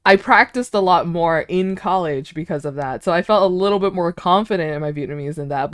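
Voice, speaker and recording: A woman's voice: medium pitch, no echo, studio quality. A young American woman streamer chatting casually with viewers, giggling often and restarting her sentences. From United States. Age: young adult.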